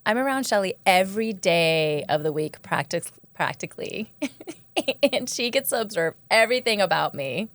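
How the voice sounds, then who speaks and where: A woman's voice: mid-range voice, animated, normal speed, a bit bright, no room sound. A woman co-hosting a podcast, asking questions and reflecting on her own life in casual conversation. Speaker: podcast co-host.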